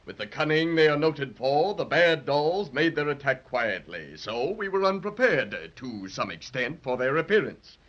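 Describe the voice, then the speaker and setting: A stiff, formal tin soldier captain, pompous and deferential to his superiors. His highish voice swings up and down as he reports. It comes through a muffled 1930s children's radio recording. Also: sing-song. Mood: stiff, proud. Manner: stiff, formal military report, halting with ums.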